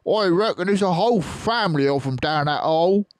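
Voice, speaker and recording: A shrewd, cocky farmer from a storybook. The pitch sits far up high, and the talk is loud and folksy. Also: male voice, lively intonation, fairly quick, dry recording, clean studio sound. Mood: cocky, knowing. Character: Bunce, shrewd rustic male farmer, sharp and cocky.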